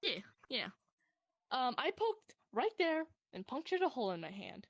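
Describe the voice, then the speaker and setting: A young woman casually telling a personal story to a friend in a rambling, animated way, swearing freely. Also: high voice, moderate pace, no echo, studio quality.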